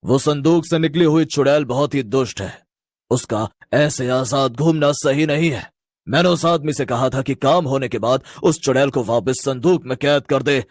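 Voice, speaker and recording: A man's voice: mid-range voice, normal speed, no room sound, studio quality, close to an everyday voice. A man playing a stern tantric sorcerer in a cartoon horror story, speaking in an emphatic, admonishing way. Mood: grave warning. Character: stern tantric sorcerer who keeps captive ghosts. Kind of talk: animated horror story dialogue.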